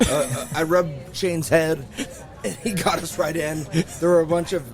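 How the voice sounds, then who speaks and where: A man's voice: a little high, moderate pace, soft background music. A man in his late thirties, a podcast guest, teasing the hosts in rambling casual banter outdoors. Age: 30s. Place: outdoors by a racetrack with a crowd. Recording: loud crowd noise outdoors.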